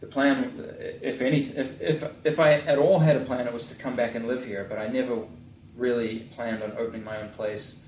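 A man talks about his work in casual, halting bursts. He often stops and restarts his sentences. He sits a little away from the mic in a roomy tattoo shop, and faint ambience runs under his voice. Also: medium pitch, steady tone, darkish tone, recorded from a distance, a little hiss or hum. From New Zealand. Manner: halting, many restarts and fillers. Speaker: tattoo artist guest. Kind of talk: casual interview conversation. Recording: echoey room, a bit off-mic.

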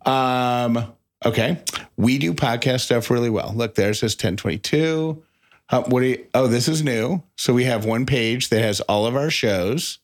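Guy cohosting a podcast, casual chat, medium pitch, kinda halting, rewords stuff as he goes. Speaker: podcast co-host.

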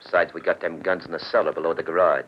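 An actor playing a cocky, dismissive gangster boss in a 1940s radio drama, with curt, slangy talk. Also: male voice, low-pitched, flat delivery, fast, natural voice. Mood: impatient, dismissive. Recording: muffled old radio recording, faint hiss.

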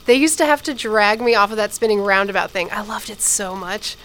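An actress playing a nostalgic, casual American sister in naturalistic audio drama dialogue. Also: female voice, mid-range voice, a little fast, fairly bright tone, close to an everyday voice. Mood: fond, nostalgic. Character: nostalgic, casual sister watching old home movies. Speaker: supporting character.

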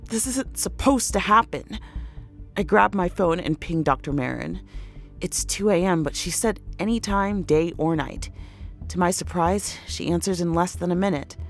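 A woman's voice: fairly low pitch, lightly acted. A woman playing an anxious, exhausted pregnant woman who narrates her own horror story in an intimate, weary manner. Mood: pained, panicked. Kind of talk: first-person horror audio drama narration.

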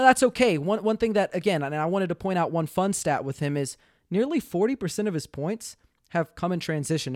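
A man's voice: a little high, no echo, studio quality. A man in his twenties co-hosting a sports podcast, casually analysing basketball with lots of fillers. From Texas. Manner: animated, analytical, many fillers.

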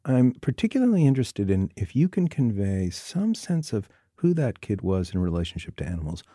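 A man hosting an interview podcast, reading a measured introduction and asking thoughtful questions. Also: lowish voice, sing-song, dark tone, no echo, studio quality. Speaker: podcast host.

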